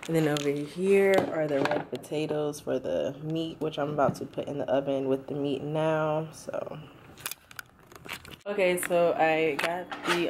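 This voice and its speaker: A woman in her twenties casually narrating her cooking in a small home kitchen, rambling with many ums, filmed on a handheld camera. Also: low-pitched. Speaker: vlogger. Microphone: handheld camera, close and moving. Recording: noisy kitchen with frying sounds.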